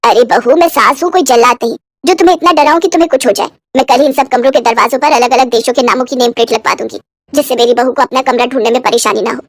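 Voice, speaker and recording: A woman's voice: a little high, fast talker, no room sound, voice pushed down, natural voice. A woman voicing a middle-aged Indian mother-in-law in a moral story, bossy and melodramatic, with sarcastic scolding. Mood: relieved. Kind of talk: acted dialogue in a moral story. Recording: music behind the voice.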